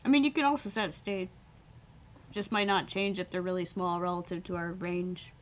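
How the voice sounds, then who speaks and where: A woman's voice: fairly low pitch, fairly even intonation, normal speed, a little hiss or hum, close to an everyday voice. A woman voicing a playful bird mascot, a cheeky and eager coding sidekick in casual banter. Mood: curious, helpful. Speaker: guest co-host pair programmer.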